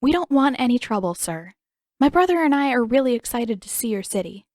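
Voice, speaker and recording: Polite protective teen girl, read by a woman, bright and high, sharp hiss when annoyed. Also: sing-song, brisk, dry recording, clean studio sound.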